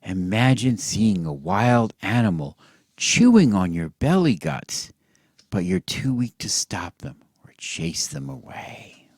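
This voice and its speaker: Guy doing mock-earnest bedtime storytelling, slow n theatrical, huskyish voice, super dry close sound. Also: fairly low pitch, sing-song, husky, no room sound. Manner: theatrical, mock-earnest, with drawn-out words and hushed drops. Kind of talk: satirical bedtime storytelling, as if to children.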